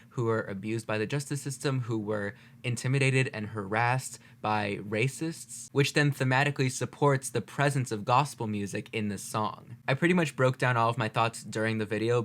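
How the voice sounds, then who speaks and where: A man's voice: mid-range voice, fairly bright tone. A man giving casual, analytical reaction commentary, explaining his points articulately in a conversational way. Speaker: video host, music reactor.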